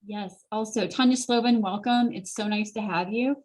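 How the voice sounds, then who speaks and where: A middle-aged woman presents a motivational career webinar over a video call. Her pitch sits in an average range for a woman, and she talks in an earnest, encouraging, conversational way straight to her listeners. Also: normal speed, a little mellow. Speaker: host and career coach.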